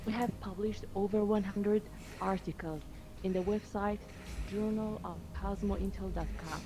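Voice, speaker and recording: A woman from Iraq whose first language is Arabic, a student explaining ideas earnestly in halting English. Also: mid-range voice, fairly even intonation, rough recording. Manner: earnest, slightly halting non-native English. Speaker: student, participant.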